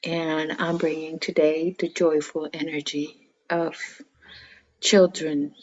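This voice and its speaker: A woman's voice: low-pitched, little pitch movement, slow pace, highs cut off. A woman from Costa Rica giving a friendly, plain introduction as a ceremony presenter. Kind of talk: brief self-introduction.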